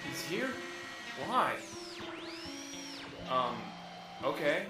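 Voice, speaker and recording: A man's voice: fairly high pitch, big pitch swings, extremely slow, exaggerated voice. A man playing a hesitant, deferential junior police officer in a radio drama. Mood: nervous. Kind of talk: amateur radio drama acting. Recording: roomy, distant, music underneath.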